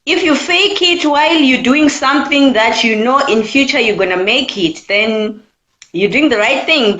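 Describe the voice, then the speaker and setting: Zimbabwean woman, twenties, earnest caller, slightly high pitch, echoey. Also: fairly bright tone, highs cut off. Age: 20s. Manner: earnest, repeats her points. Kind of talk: call-in opinion chat.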